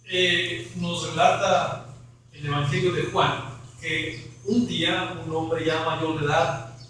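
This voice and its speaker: A man's voice: on the high side, fairly even intonation, slow pace, a bit bright, very echoey, distant mic, poor audio. A man preaching an evangelical sermon as pastor, rising to emphatic questions.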